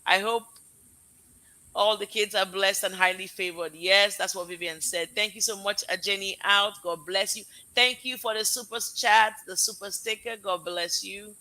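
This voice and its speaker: A woman's voice: medium pitch, fairly even intonation, normal speed, pushed and bright, rough recording. A woman with a Nigerian accent mixed with American tones, hosting a casual live stream and giving effusive, heartfelt, rambling thanks to her guests and audience. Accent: Nigerian with American mix. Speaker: live show host, parent.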